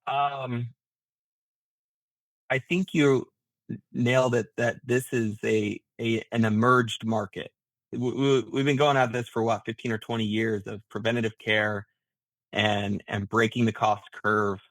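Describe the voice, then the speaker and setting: A man speaking as an interview guest, explaining his business in a halting, thinking-aloud way with repeated words. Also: medium pitch, level tone, a bit bright, dry recording, poor audio. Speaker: interview guest, healthcare management founder.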